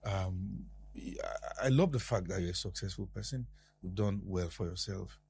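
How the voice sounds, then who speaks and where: A man's voice: sits low, moderate pace, gravelly, darkish tone, a little hiss or hum. A man hosting an interview, earnest and probing, with eager affirmations. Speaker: interview host. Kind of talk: interview chat.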